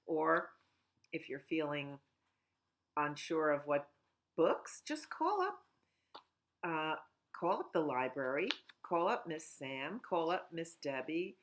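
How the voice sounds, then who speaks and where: A middle-aged woman at home, a children's librarian talking gently and in a sing-song way during a storytime. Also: low-pitched, very animated, slow, long pauses. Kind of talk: storytime reading and chat for children.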